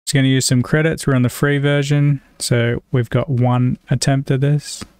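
Aussie guy doing a casual software walkthrough, clear smooth voice, really dry sound. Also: mid-range voice, flat delivery, moderate pace, no echo. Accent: Australian. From Australia. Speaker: tutorial presenter. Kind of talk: casual screen-recorded software tutorial.

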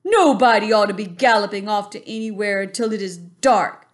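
A woman voicing a huge, menacing male giant who taunts in a booming voice. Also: moderate pace, lightly acted. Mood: taunting.

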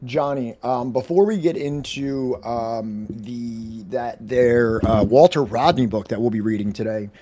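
A man's voice: mid-range voice, measured pace, few pauses, warm tone. A man in his thirties co-hosting a history reading livestream from home, chatting casually and thanking viewers with lots of ums. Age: 30s. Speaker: co-host of a history reading stream. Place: room at home.

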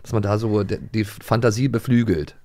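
A man's voice: lowish voice, steady tone, a little fast, a little mellow. A man in his thirties co-hosting a podcast, talking with enthusiasm in a casual conversation and chuckling easily. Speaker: podcast co-host. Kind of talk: casual chat.

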